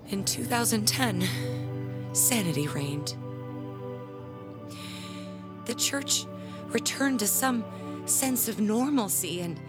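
An actress playing a proud, aggrieved, self-important middle-aged church bell ringer in an audio drama, speaking in a theatrical, haughty, deliberate way. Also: female voice, medium pitch, natural voice. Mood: self-righteous.

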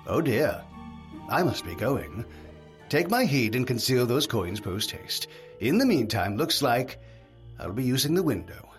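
An actor in an audio drama playing a calm, sly medieval conspirator, measured and dryly sardonic. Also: male voice, mid-range voice, faint music behind. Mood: wry amusement.

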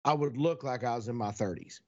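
A man's voice: medium pitch, a little fast, lo-fi sound. A man in his sixties chatting casually on a podcast, rambling through stories. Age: 60s. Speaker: podcast co-host.

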